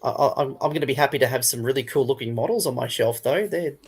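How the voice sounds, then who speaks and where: A man's voice: mid-range voice, fast talker, husky. A man, a hobby retailer, chatting casually as a guest.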